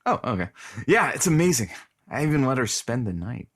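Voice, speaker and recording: Excitable radio sidekick, swooping voice. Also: male voice, medium pitch, fairly quick. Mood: giddy, nervous. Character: eager, anxious, lovestruck male radio producer. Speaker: radio show producer and sidekick. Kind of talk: scripted comedy audio drama.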